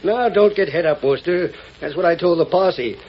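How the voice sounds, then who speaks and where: A man's voice: fairly high pitch, normal speed, close to an everyday voice. A man playing a sly cattle-rustling cowhand with American cowboy dialect in a 1940s radio western. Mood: smug, scheming. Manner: folksy cowboy dialect, scheming. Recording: muffled, noisy old radio broadcast.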